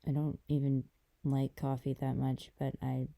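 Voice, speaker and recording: A woman playing a gentle, affectionate girlfriend in an intimate ASMR roleplay, talking softly and hesitantly to a partner. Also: level tone, full character voice. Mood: relaxed, affectionate. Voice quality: dark, creaky low voice. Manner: soft, hesitant, unhurried conversational delivery.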